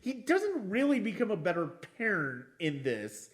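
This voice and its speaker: A man in a casual film discussion as a podcast host, speaking haltingly and often circling back to rephrase. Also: high-pitched, huge pitch swings.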